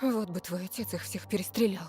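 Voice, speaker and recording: Woman acting a stern mother over loud music. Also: mid-range voice, fast, lightly acted. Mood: irritated. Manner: curt, nagging, commanding. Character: stern irritable mother scolding her young son. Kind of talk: visual novel voice acting. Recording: game audio under loud music.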